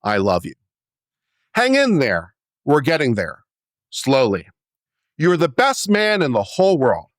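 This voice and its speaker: A man reading a letter aloud as a dramatic performance, in a theatrical, expressive manner. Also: mid-range voice, a little slow, pauses often, dry recording, studio quality. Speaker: reader of a letter.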